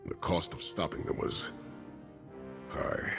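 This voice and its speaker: An actor playing a stern, seasoned army colonel in a video game, middle-aged, with clipped and authoritative delivery. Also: male voice, slow pace, close to an everyday voice. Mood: grave, commanding. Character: gruff seasoned male army colonel, stern strategic advisor. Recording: music behind the voice.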